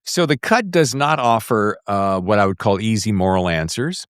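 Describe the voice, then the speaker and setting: A man's voice: lowish voice, lively intonation, normal speed, a bit bright, clear, resonant tone, no room sound, studio quality. A man hosting a casual book interview, musing aloud and rephrasing his questions as he goes. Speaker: podcast host interviewer.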